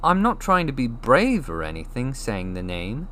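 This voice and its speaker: A man as an earnest, lively young boy. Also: mid-range voice, moderate pace, pitched above the performer's own voice. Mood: anxious, modest. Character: young orphan boy, modest, earnest newcomer to magic.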